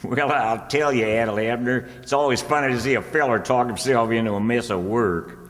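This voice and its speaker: A man's voice: medium pitch, fairly quick, a bit bright, close to an everyday voice. A man playing a practical, shrewd, impatient storekeeper in an old-time radio comedy. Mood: amused, sly. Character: Lum, practical, bossy, shrewd rural storekeeper. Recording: echoey hall, long reverb.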